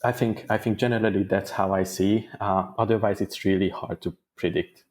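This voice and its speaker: A man answering interview questions as a guest, in a thoughtful, measured way with small restarts. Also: fairly low pitch, fairly even intonation, darkish tone. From Hungary. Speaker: guest data engineer.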